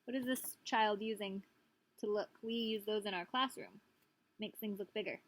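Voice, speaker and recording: A woman's voice: medium pitch, steady tone, normal speed. A woman, a preschool teacher, reading a picture book aloud to young children and prompting them with questions.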